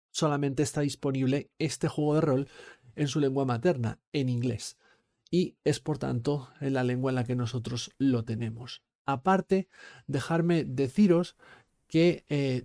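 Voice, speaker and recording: Spanish guy from spain reviewing an rpg book, mellow warm tone, careful and formal, lots of little eh pauses. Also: mid-range voice. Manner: measured and formal, with filler "eh" pauses. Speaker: tabletop game reviewer, channel host. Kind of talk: product review talk to camera.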